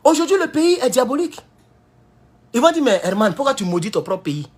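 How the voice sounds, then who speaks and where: A man with an Ivorian French accent giving a heated political commentary, jumping from loud high outbursts to low mutters. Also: big pitch swings, brisk, slightly rough. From Ivory Coast. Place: at home in California.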